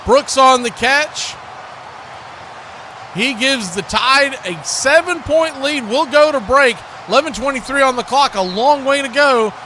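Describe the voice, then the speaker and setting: A high-pitched male sports announcer calls a football game live, play by play. His voice comes over a clearly noisy stream. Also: measured pace, sharp tone.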